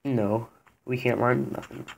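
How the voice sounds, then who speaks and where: A teenage boy hosting a casual gaming video, bossy and impatient with his friend, reading game signs aloud haltingly. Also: mid-range voice, steady tone, gravelly, slight background noise, poor audio. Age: teens. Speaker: gaming channel host. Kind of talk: casual let's play commentary. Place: indoor room.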